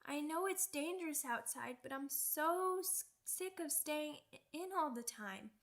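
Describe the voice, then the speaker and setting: Woman voicing a high-pitched childlike werewolf pup. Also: expressive, fairly bright tone, heavily acted. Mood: restless, frustrated. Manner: childish wording, halting, repetitive, blurting. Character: childlike young werewolf pup, excitable, stubborn, orphaned from pack. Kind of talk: ASMR character roleplay audio drama.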